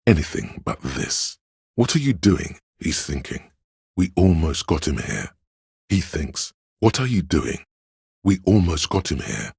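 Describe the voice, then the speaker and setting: A brooding inner voice narrates a detective game. It sits extremely low, with a crackling fry and heavy huskiness. The delivery is measured, solemn and dramatic, like literary scripted narration. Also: male voice, big pitch swings, a little mellow, no echo, studio quality, exaggerated voice. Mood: tense, urgent. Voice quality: very deep, gravelly, husky.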